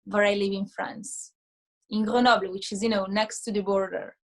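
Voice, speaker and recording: A woman's voice: medium pitch, level tone, moderate pace. A woman from Italy, a co-founder of an advocacy group, introducing it earnestly on a video-call panel.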